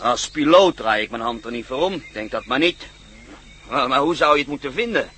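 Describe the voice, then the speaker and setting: An actor playing a blunt, grumbling, reluctant bush pilot in a radio play. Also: male voice, medium pitch, animated, a little fast, bright, forceful tone, slight background noise. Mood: refusing, uneasy. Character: reluctant, superstitious bush pilot with a rickety seaplane.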